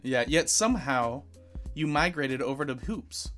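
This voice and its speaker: Male podcast host, medium-pitched, music behind. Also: expressive, measured pace, a bit bright. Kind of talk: casual podcast interviewing.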